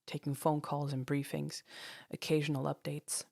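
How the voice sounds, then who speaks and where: Woman narrating an audio story, low voice, really dry close recording, dramatic scripted read. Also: little pitch movement, normal speed, gravelly, warm tone, no room sound.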